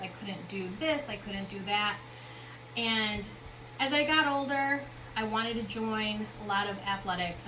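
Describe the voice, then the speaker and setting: Woman founder giving an expo talk, chatty and high-voiced, with steady noise from the hall behind her. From Philippines. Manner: conversational, with frequent fillers and check-ins. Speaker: founder and keynote speaker.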